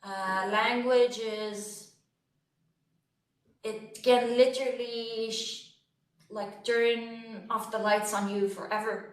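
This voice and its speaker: A woman moderates a panel, speaking reflectively with frequent pauses and fillers. She sits back from the mic in a roomy lecture hall, so her voice carries a noticeable echo. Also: on the high side, fairly even intonation, recorded from a distance. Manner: reflective, halting, many fillers. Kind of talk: panel discussion.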